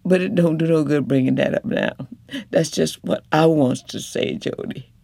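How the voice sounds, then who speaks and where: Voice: mid-range voice, normal speed, vocal fry, a little mellow, dry recording, pitched above the performer's own voice. A reader voicing a defiant wife. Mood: reproachful. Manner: earnest, rising to fierce reproach. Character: young rural wife, hurt but defiant, pleading.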